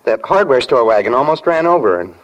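An actor playing an earnest, bashful small-town teenage boy in love, halting and sincere, in a 1940s radio drama. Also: mid-range voice, lively intonation, close to an everyday voice, poor audio. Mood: eager, bashful.

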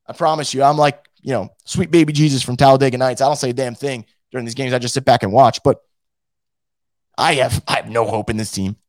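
A hoarse man who is losing his voice hosts a casual fan show and reads out the live chat, blunt and profane, with many restarts. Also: medium pitch, fairly even intonation, fast, no room sound. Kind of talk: casual post-game rant.

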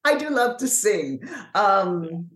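A woman with a deeper voice, an author and actor talking frankly and with energy as an interview guest. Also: slow pace, reverberant. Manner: frank, lively storyteller. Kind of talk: casual interview conversation.

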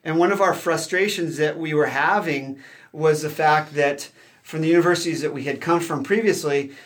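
A man from Southern California, an associate professor, answering interview questions in a steady, explanatory way with frequent fillers. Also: a little high, moderate pace.

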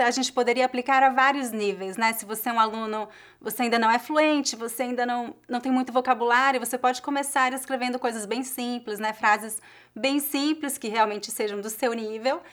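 A woman's voice: fairly high pitch, fairly bright tone. A woman language teacher hosting her channel, interviewing a guest and then closing the video in a friendly, encouraging way. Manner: friendly and encouraging, with frequent "né?" tags.

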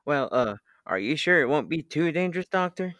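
Man as hesitant, high-voiced worried sidekick. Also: big pitch swings, fairly quick, a bit bright, no room sound. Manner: hesitant, stammering. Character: worried, hesitant male spaceman sidekick, respectful and plain-spoken. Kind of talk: old-time radio drama acting.

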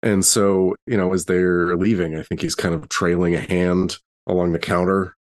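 A man's voice: low-pitched, level tone, normal speed. A man talking casually as a player in a tabletop roleplaying game, improvising what his character does.

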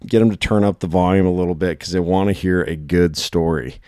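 A man hosting a casual podcast, his voice hoarse and worn, chatting and telling stories in quick bursts. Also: sits low, fairly even intonation, mellow, dry recording, clean studio sound. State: hoarse from shouting. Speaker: podcast host.

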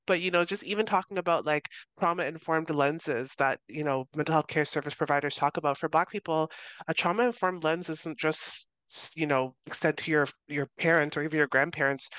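Smooth-voiced Canadian woman, discursive. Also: deep voice, fairly bright tone, no echo. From Toronto, Canada. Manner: earnest, discursive, frequent "you know" fillers, self-correcting. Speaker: guest researcher. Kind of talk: conversational interview answer.

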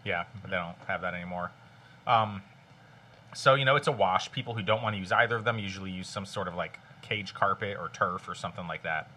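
A man's voice: sits low, a little fast, sharp tone. A man hosting a casual podcast, talking bluntly and ranting with profanity. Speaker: podcast host. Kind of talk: casual chat.

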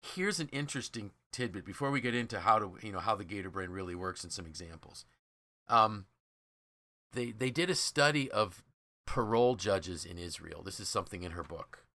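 Man, podcast host, slightly deep, crisp bright edge, conversational explainer, emphatic. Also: animated, moderate pace, no echo.

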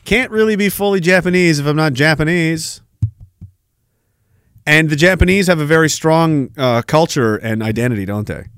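A man's voice: on the high side, animated, moderate pace, fairly bright tone, clean tone, no room sound, studio quality. A Canadian man hosting a podcast, ranting sarcastically with rhetorical questions while reacting to a clip. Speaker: podcast host. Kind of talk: ranting commentary over a played clip.

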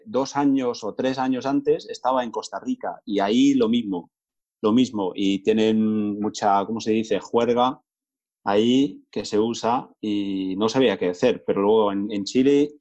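A man's voice: medium pitch, darkish tone, muffled recording. English man with a Spain-Spanish accent. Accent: Spain Spanish, learned as English speaker. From England. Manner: rambling, self-correcting, easygoing. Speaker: guest. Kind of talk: casual chat.

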